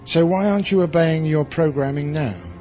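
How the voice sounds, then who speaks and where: An actor playing a hard, bitter military commander in a science fiction audio drama, with a curt, commanding way of talking. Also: male voice, on the high side, moderate pace, mellow, close to an everyday voice. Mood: probing, cold. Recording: dull lo-fi audio drama recording, music behind.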